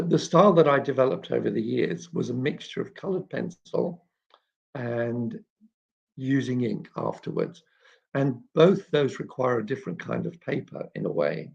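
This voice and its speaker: A man hosting an informal live question-and-answer chat, explaining things in a halting way and often clearing his throat. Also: medium pitch, darkish tone. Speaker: children's author and illustrator hosting a live chat. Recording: dull, muffled lo-fi live stream.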